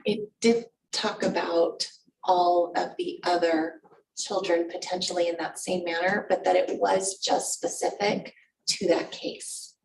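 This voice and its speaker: A woman's voice: lowish voice, steady tone, a little slow, vocal fry, a little mellow. A woman on a school board, rambling and trailing off as she talks through a document. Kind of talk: board meeting discussion. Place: large meeting room. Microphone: desk microphone from afar. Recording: echoey room, distant mic, faint hiss.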